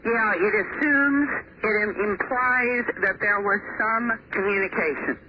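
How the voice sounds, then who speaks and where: A woman reporter giving a measured, explanatory on-air report over a payphone line. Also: medium pitch. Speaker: investigative reporter and author. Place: on a payphone, phoned into a radio show. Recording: muffled noisy payphone line on radio.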